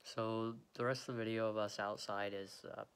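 A young man vlogging casually on a phone held at arm's length, joking and chattering quickly. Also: fairly low pitch, level tone, heavy background noise. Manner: goofy, jokey, hurried chatter. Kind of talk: casual vlog. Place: house rooms and outdoors at night.

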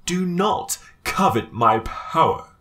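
A stern armored knight from a game, acted by a man, commanding and solemn. He speaks slowly with wide swings in pitch, and his voice rings with a metallic effect. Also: animated, fairly bright tone. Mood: imperious. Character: stern, imperious male armored knight racer. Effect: metallic convolution reverb, filtering. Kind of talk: game character voice acting.

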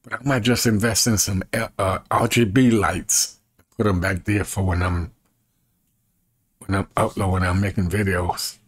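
Deep, husky middle-aged man. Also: fairly even intonation, normal speed, no room sound. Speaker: evangelist and video creator. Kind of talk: casual tech demonstration chat to camera. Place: small bedroom, indoors.

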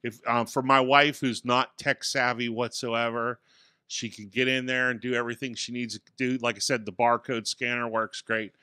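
A man in his forties co-hosting a casual tech podcast, telling a relaxed, self-deprecating story from a small studio with a boom mic. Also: moderate pace, clear, resonant tone, no echo. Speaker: podcast co-host. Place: small home studio with acoustic panels. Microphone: studio mic on boom arm.

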